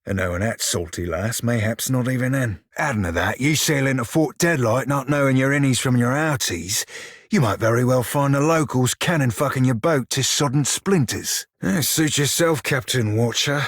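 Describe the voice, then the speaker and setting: Man acting a roguish shiphunter, game character, rough husky voice, salty slang, cocky, dry studio sound. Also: medium pitch, normal speed, no echo, natural voice. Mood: wry, conversational. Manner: salty archaic sailor slang, cocky and teasing. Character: swaggering, foul-mouthed blue-furred male pirate shiphunter, roguish. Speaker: video game companion character. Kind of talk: video game character dialogue and barks.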